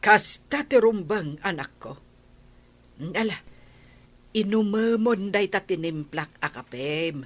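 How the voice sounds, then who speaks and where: A woman voicing an older, devout grandmother who gives her daughter patient advice, with a husky voice. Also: medium pitch, lively intonation, slow, long pauses. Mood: soothing.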